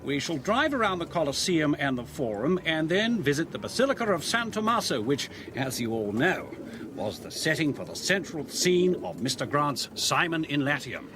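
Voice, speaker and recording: A smooth tour guide in a radio drama, officious and flowery. His voice is fairly high and swoops in a lively way, over faint background noise. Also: normal speed. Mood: formal, brisk. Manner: formal, flowery showman's announcing. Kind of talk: British radio drama acting.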